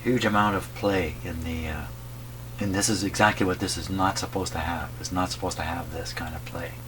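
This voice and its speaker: A man giving casual hands-on demonstration commentary, thinking aloud haltingly as he works as host. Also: low voice, fairly even intonation. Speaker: host of a radio repair video. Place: radio workshop. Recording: hum and clear background noise.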